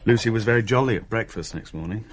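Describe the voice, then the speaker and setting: A man's voice: on the low side, fast, slight background noise, close to an everyday voice. An actor playing a young man who tells of things in a hesitant, descriptive way in a naturalistic radio play. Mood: reflective. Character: uneasy younger man recounting a ghost sighting.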